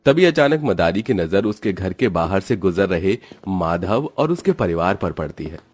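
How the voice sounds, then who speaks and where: A man narrating a cartoon moral story in a steady, dramatic storytelling style. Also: sits low, normal speed.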